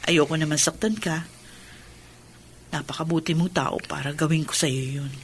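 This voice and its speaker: A woman's voice: sits low, fairly even intonation, moderate pace, slightly rough. An actress playing a tender, emotionally wounded young woman in a sentimental radio drama, speaking earnestly and with feeling. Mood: earnest, grateful. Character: tender, wounded young bride Julie, healing from heartbreak.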